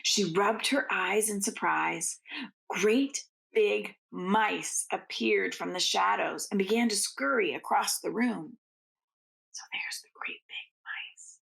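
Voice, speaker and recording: A woman reading a children's story aloud like a teacher, expressively, with drawn-out phrasing and hushed asides. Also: mid-range voice, vocal fry, rough recording.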